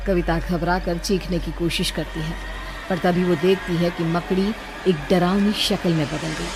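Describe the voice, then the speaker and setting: A woman's voice: fairly low pitch, fairly even intonation, moderate pace, music in the background. A woman reading scripted narration for a horror cartoon story.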